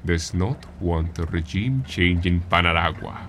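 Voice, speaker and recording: A man playing a zealous, smooth-talking rebel leader with a grandiose, insistent delivery in an audio drama. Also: low-pitched, unhurried, fairly bright tone, slight background noise. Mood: knowing, insistent.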